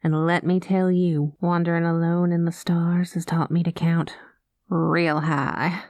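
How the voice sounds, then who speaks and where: A woman playing a rogue space pilot in an ASMR roleplay, talking close to the mic in a soft, folksy cowboy drawl that drops into whispers. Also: lowish voice, flat delivery, a little slow, no echo. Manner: soft-spoken, slips into whispers. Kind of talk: ASMR roleplay, spoken to the listener.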